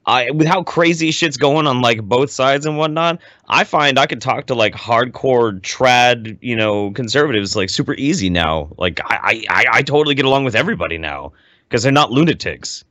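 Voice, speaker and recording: Bright-voiced laid-back man. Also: medium pitch, expressive, no room sound, clean studio sound. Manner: laid-back, profane, drawn-out "like"s. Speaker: podcast co-host. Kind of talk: casual podcast banter.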